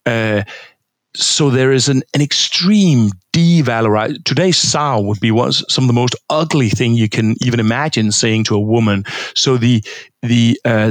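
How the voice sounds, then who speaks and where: A man's voice: mid-range voice, no echo. A man from Denmark, a historian of religion, giving a thoughtful interview answer with frequent hesitations and restarts. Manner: thoughtful, halting, many fillers and restarts.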